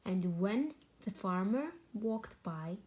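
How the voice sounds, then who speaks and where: A woman reading a children's storybook aloud with deliberate, dramatic, expressive narration. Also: medium pitch. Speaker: storybook reader.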